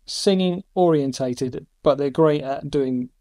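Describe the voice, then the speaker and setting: A middle-aged man hosting a casual screen-recorded review, enthusiastic, with quick asides between demos. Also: a little high, dark tone, dry recording, clean studio sound. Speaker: YouTube host reviewing AI voice tools.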